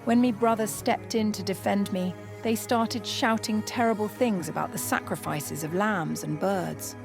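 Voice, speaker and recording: A woman's voice: mid-range voice, normal speed, creaky, pitched above the performer's own voice, natural voice. A woman voicing an earnest, loyal young working-class maid in a storybook, colloquial and deferential. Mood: indignant, worried. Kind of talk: period storybook dialogue. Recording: music behind the voice.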